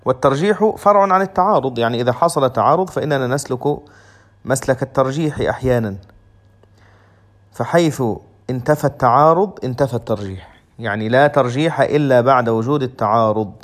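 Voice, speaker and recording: A man giving a lecture on a legal text, methodical and restating each point in simpler words. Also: mid-range voice. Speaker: Islamic law teacher.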